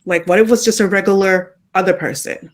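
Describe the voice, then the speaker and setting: A woman with a Jamaican accent, guesting on a faith podcast, at an ordinary middle pitch. She talks reflectively and casually, often tagging her points with a quick question to the listener. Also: fairly even intonation. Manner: reflective, often adds "right?".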